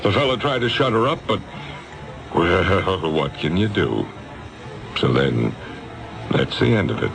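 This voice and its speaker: An American man playing a smooth, evasive, callous company boss in a 1970s radio drama, with a glib, patronizing manner. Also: low voice, lively intonation, measured pace, pauses often, full character voice. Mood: callous, casual. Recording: vintage radio drama with music.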